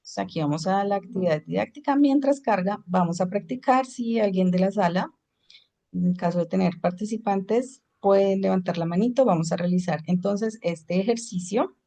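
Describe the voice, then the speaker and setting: A woman's voice: medium pitch, normal speed, mellow. Woman teaching an English class. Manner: explains in Spanish, switches to English for examples. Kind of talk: online class lecture.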